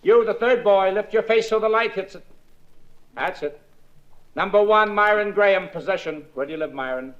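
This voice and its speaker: An actor playing a formal, commanding police sergeant running a lineup in a 1950s radio drama. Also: male voice, sits high, normal speed, fairly bright tone. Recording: lo-fi old radio broadcast.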